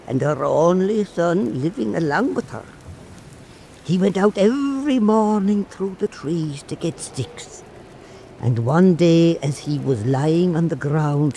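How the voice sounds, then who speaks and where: An actor playing a frail old Irish island storyteller in a radio drama, speaking in an earnest, folksy, teasing way. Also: male voice, sits high, darkish tone, a little hiss or hum, exaggerated voice. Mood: storytelling. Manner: slow, folksy, emphatic.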